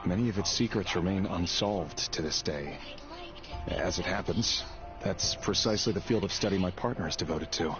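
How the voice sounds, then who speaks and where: An actor voicing a weary, earnest young man with a secret grim trade, speaking in a measured way for a video game. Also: sits low, close to an everyday voice. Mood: grave, troubled. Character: weary young man, secret royal executioner, tender but brooding. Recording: dull game audio under loud music.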